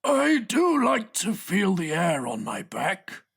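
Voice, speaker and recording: Batty old aristocrat, gravelly and hoarse, wildly swooping delivery, indignant, cackling, comic audiobook character. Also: male voice, high voice, huge pitch swings, fairly bright tone, voice pushed up, heavily acted. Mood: indignant, haughty. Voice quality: creaky, rasping. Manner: dramatic, drawn-out delivery. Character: cackling, eccentric, indignant elderly English lord.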